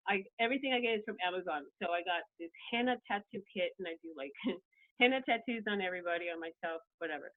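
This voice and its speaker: A woman podcast host from the United States, chatting casually and rambling with many restarts. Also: medium pitch. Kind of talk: casual chat. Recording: tinny phone-like line.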